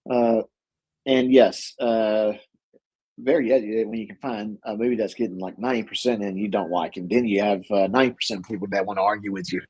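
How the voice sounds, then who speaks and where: A man's voice: on the low side, fairly even intonation, a little fast, darkish tone, husky, poor audio. A man co-hosting a casual show, summing up a movie with lots of fillers, restarts and laughs. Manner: rambling, many fillers and restarts; laughs often. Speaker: podcast co-host. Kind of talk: casual movie review chat.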